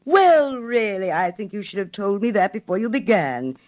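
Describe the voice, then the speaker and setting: A woman's voice: medium pitch, sing-song, moderate pace, darkish tone, pitched above the performer's own voice, lightly acted. A woman playing an indignant, blustery male water rat in a storybook tale, huffy and exclamatory.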